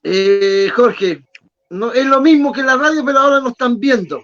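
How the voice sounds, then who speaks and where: A man hosting a casual interview, chatty and quick with short acknowledgments. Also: very high-pitched, a bit bright. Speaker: radio show host. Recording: dull lo-fi sound.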